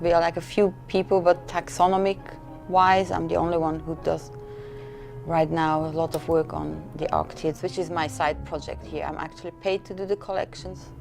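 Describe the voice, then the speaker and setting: Low gravelly woman from Switzerland. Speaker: museum entomology collections manager. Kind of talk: documentary interview.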